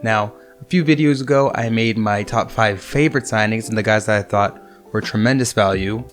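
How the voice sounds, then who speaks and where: Hoarse young man giving sports takes. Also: lowish voice, moderate pace. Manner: casual, opinionated, conversational. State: hoarse from illness. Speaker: host. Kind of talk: sports commentary monologue. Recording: faint music underneath. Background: soft background music.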